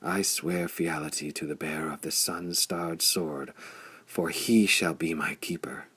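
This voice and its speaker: A man's voice: extremely low pitch, fairly even intonation, a little slow, pushed and bright, breathy edge, dry recording, full character voice. A man voicing a fierce barbarian warrior in an audiobook, solemn and declamatory as he speaks with reverence. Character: fierce blond barbarian warrior, club bearer, reverent before prophecy. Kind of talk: audiobook character voice.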